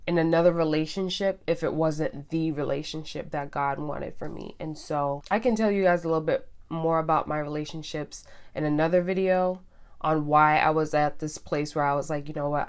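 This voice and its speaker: A woman's voice: low voice, clean tone. A young woman from Guyana and Trinidad chatting candidly to her viewers, often acting out her past thoughts as quoted asides. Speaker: vlogger. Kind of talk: casual storytelling vlog.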